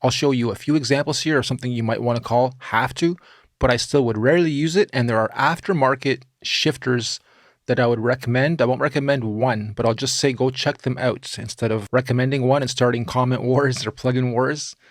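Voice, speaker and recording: A man narrating a software tutorial in an informal, opinionated way, like a music production teacher talking through his steps. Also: mid-range voice, fairly quick, no echo, studio quality.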